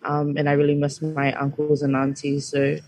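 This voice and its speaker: Young woman, deep even voice, soft and hesitant, student guest on a chat show. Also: normal speed, no echo. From New Zealand. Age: young adult. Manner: soft-spoken, hesitant with many ums. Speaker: student association exec member, guest. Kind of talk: casual radio chat.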